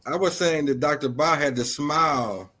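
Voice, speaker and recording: A man's voice: fairly high pitch, moderate pace, pushed and bright. A man co-hosting a casual talk show, easygoing and playful as he banters and introduces guests. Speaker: co-host. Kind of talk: casual chat.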